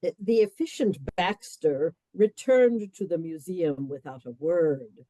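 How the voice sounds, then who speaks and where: A woman narrating a radio play with measured storytelling and dry comic emphasis. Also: fairly low pitch, warm tone, poor audio.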